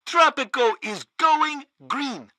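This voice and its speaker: A woman's voice: high voice, expressive, takes it slowly, pushed and bright, no echo, clean studio sound, heavily acted. A woman playing a smug, flippant radio news host in a satirical game broadcast. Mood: smug announcing.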